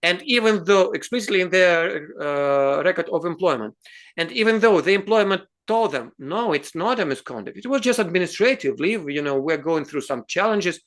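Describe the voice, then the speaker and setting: A man with a somewhat high-pitched voice and a Russian accent explains things in English, his second language. He speaks earnestly and haltingly, breaking off into restarts and fillers before pressing his point with emphasis. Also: expressive, a bit bright. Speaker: host. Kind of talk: explanatory video talk.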